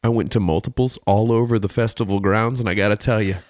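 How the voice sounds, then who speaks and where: A man's voice: lowish voice, steady tone, fast, darkish tone, dry recording, studio quality. A man co-hosting a podcast in casual comedic banter, telling jokey stories with emphatic repetition. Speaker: podcast co-host.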